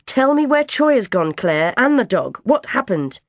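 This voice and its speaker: A woman's voice: a little high, sing-song, normal speed, dry recording, studio quality, pitched above the performer's own voice. A woman voicing a frantic, anguished mother with urgent, raised questioning in a storybook.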